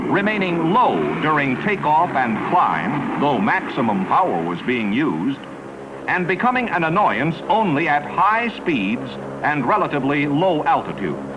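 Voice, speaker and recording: A man's voice: a little high, very animated, measured pace, background noise. An American man narrating a scripted 1950s promotional newsreel in a polished, declarative announcer style. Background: music bed.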